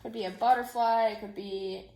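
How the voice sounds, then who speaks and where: A woman's voice: medium pitch, fairly even intonation, moderate pace, mellow. A woman teacher reading a picture book aloud and chatting informally and directly to young children. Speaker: elementary school teacher. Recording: echoey room.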